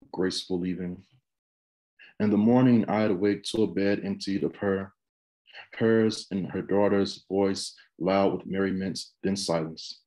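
A man in his thirties reading poetry in a measured, deliberate way, in short phrases, over a video call. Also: low voice, darkish tone, low-quality recording. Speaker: poet. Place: small room with bookshelves, indoors. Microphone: video call computer microphone.

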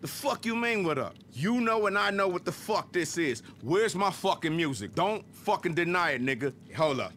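A man's voice: sits high, big pitch swings, normal speed, few pauses, a bit bright, a little hiss or hum. An actor playing a cocky, hot-tempered male music mogul in a game cutscene, brash, profane and commanding. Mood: angry. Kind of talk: video game cutscene acting.

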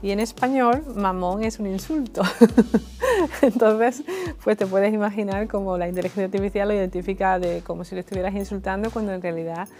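A woman, explaining technology clearly in an interview. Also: medium pitch, brisk, a little mellow, faint music behind. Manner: articulate, explanatory. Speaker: tech expert. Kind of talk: interview answers.

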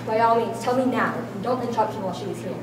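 A woman's voice: mid-range voice, racing, close to an everyday voice. Young woman playing poised calculating wife, suave, formal, stage play, distant echoey recording, room noise. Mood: haughty, cool. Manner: suave, mannered, formal diction. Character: poised, haughty, calculating social mask of wealthy wife. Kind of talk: amateur stage play acting. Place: large school hall with small audience. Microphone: far camera.

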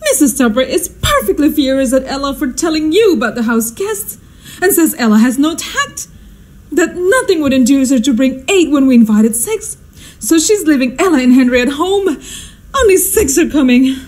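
Casting: actress as exasperated society matron for radio play, high voice, swooping delivery, flustered and theatrical. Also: female voice, big pitch swings, moderate pace, a little mellow. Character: histrionic, exasperated society hostess and mother. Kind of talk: stagey radio drama acting.

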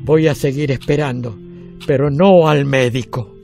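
An actor playing a weary retired man in his eighties with an Argentine accent, reflecting deliberately in an audio drama. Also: medium pitch, fairly even intonation, vocal fry, a little mellow. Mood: resolute. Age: 80s. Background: music.

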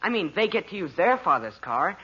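A man's voice: high-pitched, sing-song, fairly quick, bright, forceful tone, slightly rough, exaggerated voice. A man playing an earnest, self-pitying fifteen-year-old son who stammers, in a 1940s radio sitcom. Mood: aggrieved. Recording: dull, noisy vintage radio recording.

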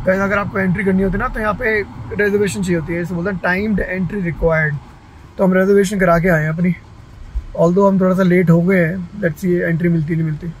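A man's voice: high voice, fairly even intonation, quick pace, a little hiss or hum, poor audio. A man in his thirties from India chatting casually to viewers as a travel vlogger, switching between languages mid-sentence. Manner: chatty, conversational, addressing viewers. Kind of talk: casual vlog narration to camera, Hindi mixed with English. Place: outdoors at mountain overlooks and inside a car. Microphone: phone at arm's length.

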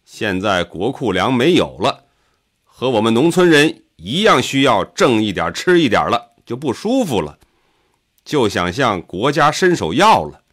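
A man's voice: fairly low pitch, lively intonation, measured pace, husky. A man voicing an indignant, plain-spoken farmer in an audiobook, scolding with rhetorical questions. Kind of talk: audiobook character voice.

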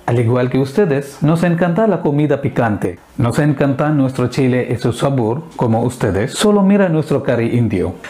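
A man in his thirties from Kerala in India, a native Malayalam speaker with an Indian accent, presenting to camera in a second language with halting, deliberate phrasing. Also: medium pitch, expressive, mellow. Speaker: video host. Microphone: clip-on lapel mic.

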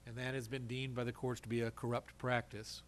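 A man's voice: medium pitch, little pitch movement, fairly quick, slight background noise. A man advising a council in a public meeting, explaining things carefully, step by step.

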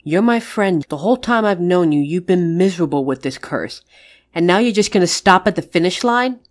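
A woman's voice: on the low side, expressive, a little fast, close to an everyday voice. A woman playing a caring but exasperated witch in an audio roleplay, talking frankly and coaxingly to a friend. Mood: urging, exasperated. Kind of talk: dramatic audio roleplay acting.

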